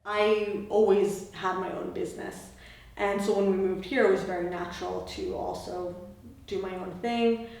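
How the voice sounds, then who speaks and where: A woman's voice: mid-range voice, steady tone, normal speed, darkish tone. A woman from New York City who owns a studio, talking easily in an interview. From New York City, USA. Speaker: studio owner. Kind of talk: interview talk. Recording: very echoey, from far off.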